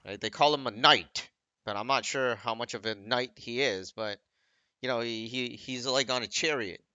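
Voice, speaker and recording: A man with a bright, forward voice talks casually over a video game. He rambles and keeps second-guessing how to word things as he goes. The recording is very dry and a little dull, like a simple home setup. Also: medium pitch, moderate pace, clean tone, no room sound. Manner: rambling, second-guesses his own wording. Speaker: gaming video creator. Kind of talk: casual off-the-cuff game commentary.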